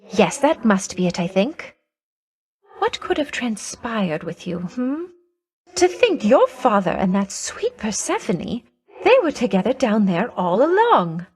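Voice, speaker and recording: A woman voicing a vain, flirtatious goddess of love in a video game, coy and teasing, with sweet-voiced threats. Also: mid-range voice. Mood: tender.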